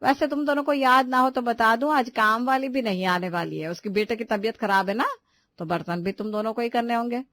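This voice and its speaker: Woman as stern high-voiced older mother-in-law. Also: a little fast, fairly bright tone, dry recording. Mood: reproachful. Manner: pointed, lecturing.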